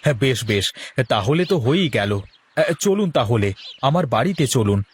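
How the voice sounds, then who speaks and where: A man's voice: mid-range voice, big pitch swings, moderate pace, slight background noise, lightly acted. A man playing a humble, hospitable village man who speaks courteously in a cartoon moral story. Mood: welcoming. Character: humble, hospitable village man, respectful elder-brother type. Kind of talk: Bengali cartoon dialogue.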